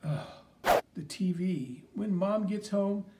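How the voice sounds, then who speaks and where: A man's voice: high voice, slow pace, distant mic, background noise. A man doing an eager, doubtful young boy. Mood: boyish. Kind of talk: audiobook character reading.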